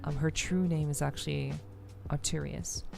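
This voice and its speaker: Low-voiced woman streamer chatting casually. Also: steady tone, moderate pace, gravelly, music in the background. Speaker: VTuber streamer. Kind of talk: casual livestream chat.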